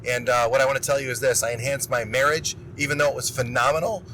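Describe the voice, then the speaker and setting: A man's voice: medium pitch, quick pace, a little hiss or hum, rough recording. A man hosting his own motivational podcast, talking casually and energetically with rambling asides while he is losing his voice. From Illinois. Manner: energetic, rambling, self-interrupting. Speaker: podcast host.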